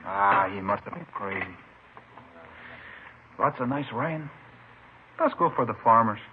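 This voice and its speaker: An actor playing a simple, hospitable, mournful barkeeper in a vintage radio drama, making halting small talk. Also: male voice, fairly low pitch, expressive. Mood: rambling, uneasy. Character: male barkeeper, chatty, hospitable, mournful simple working man. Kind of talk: 1950s radio drama acting. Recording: noisy, muffled old radio recording.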